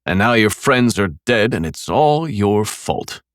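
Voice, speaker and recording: A man voicing an armored male monster who taunts his foe slowly in a hollow voice. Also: fairly high pitch, creaky, fairly bright tone, no room sound, studio quality, pitched above the performer's own voice. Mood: mocking. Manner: slow, taunting, patient menace.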